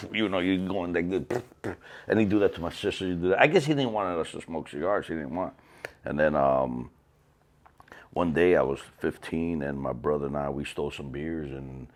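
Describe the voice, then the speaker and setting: Guy in his fifties, real low voice, rambles and restarts a lot, chatty interview. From Cuba. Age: 50s. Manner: animated, rambling, many restarts. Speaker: cigar company owner. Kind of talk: casual storytelling interview.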